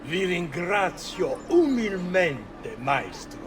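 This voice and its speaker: A man's voice: high voice, big pitch swings, slow pace, exaggerated voice. An actor playing a scheming, obsequious henchman in a video game, speaking formally. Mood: deferential. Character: deferential scheming henchman, smooth and servile man. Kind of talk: dramatic video game voice acting. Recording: music behind the voice.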